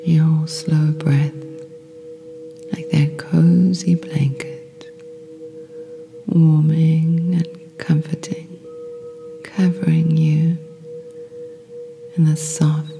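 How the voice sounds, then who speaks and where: Female meditation guide: low, hushed and breathy with creaky edges, soothing short phrases and long pauses over clear music. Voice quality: breathy, often whispery. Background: meditation music with singing bowl tones.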